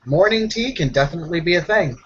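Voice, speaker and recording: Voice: medium pitch, expressive, quick pace, muffled recording, a little hiss or hum, voice pushed up, close to an everyday voice. A player voicing a jumpy, timid, slang-using member of a werewolf pack in a tabletop roleplaying game, sex unclear. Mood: cautiously agreeable. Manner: nervous, stammering, casual slang.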